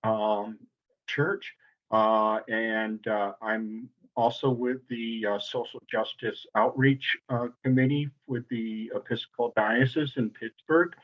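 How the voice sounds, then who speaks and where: A man introducing himself informally as a committee member, halting often as he goes. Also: medium pitch, steady tone. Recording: dull call audio.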